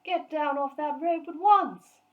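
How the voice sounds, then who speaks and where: A woman's voice: high-pitched, unhurried, lo-fi sound, voice pushed up. A woman voicing a furious, grumpy male neighbour who bellows scoldingly in a children's story. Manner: indignant, scolding, shouted.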